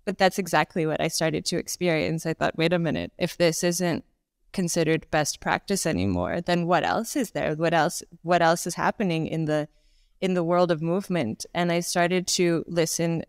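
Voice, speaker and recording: Canadian lady, 30s, chatty guest on a call, lowish smooth voice, dry sound. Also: moderate pace, no echo. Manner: chatty, animated hand gestures, frequent fillers. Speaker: guest, Pilates instructor. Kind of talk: casual conversational interview. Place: home room, indoors.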